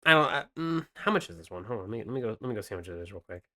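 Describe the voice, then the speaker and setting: A man's voice: on the low side, big pitch swings, rapid-fire, bright, forceful tone. A man co-hosting a podcast, chatting casually about games and rambling with lots of fillers and restarts. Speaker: podcast co-host presenting game news.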